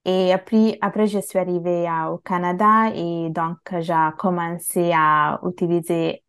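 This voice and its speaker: A woman who grew up in Italy, a native Bengali speaker, speaking as a guest in a casual interview and pausing often to search for words. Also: on the low side, little pitch movement, a bit bright, clean tone. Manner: hesitant, many fillers and restarts.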